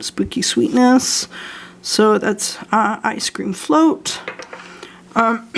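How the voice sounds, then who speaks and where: A young woman from Canada chatting casually about her fragrance purchases, hoarse and losing her voice, hesitant with many ums and frequent throat clearing. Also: fairly high pitch. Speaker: vlogger showing fragrance purchases. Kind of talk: casual haul chat.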